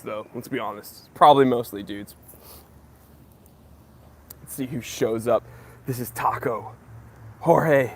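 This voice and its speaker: A man's voice: medium pitch, a little mellow, a little hiss or hum. A young American man hosting a casual live Q&A stream, greeting viewers by name and joking around, a little wheezy from a recent cough. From United States. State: slightly wheezy, recovering from a cough. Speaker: livestream host. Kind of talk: casual live Q&A chat, reading comments.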